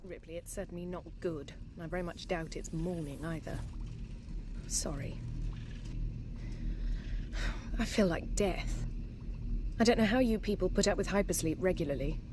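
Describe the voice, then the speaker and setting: An actress playing a businesslike, apologetic corporate lawyer aboard a spaceship in a sci-fi video game. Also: female voice, fairly low pitch, moderate pace, background noise, lightly acted. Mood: groggy.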